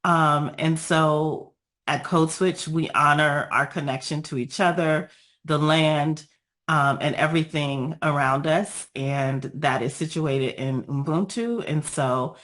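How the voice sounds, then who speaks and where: Woman, raspy voice, earnest measured delivery, frequent pauses, video call presentation. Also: low-pitched, a bit bright. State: raspy voice after illness. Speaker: guest speaker, nonprofit founder.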